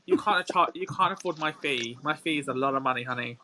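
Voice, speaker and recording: A man's voice: a little high, fast talker, crowd noise. A man co-hosting a casual chat show, making playful, sassy jokes. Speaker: co-host.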